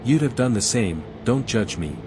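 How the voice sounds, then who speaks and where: An actor playing a composed, resolute envoy hero in a video game, speaking in measured, direct phrases. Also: male voice, medium pitch, animated, natural voice. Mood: defensive. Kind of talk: video game dialogue acting. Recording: echoey hall reverb over music.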